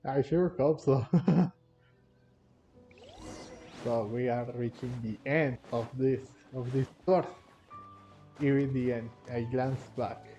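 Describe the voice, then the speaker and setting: A man giving casual gameplay commentary as a video host, halting and often chuckling, with game music behind him. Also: mid-range voice, dark tone, rough recording.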